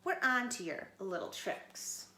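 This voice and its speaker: A woman's voice: mid-range voice, sing-song, measured pace, pushed and bright. A woman doing a growling father wolf. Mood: grumpy. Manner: growling, gruff. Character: grumpy stern father wolf. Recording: roomy echo, faint background noise.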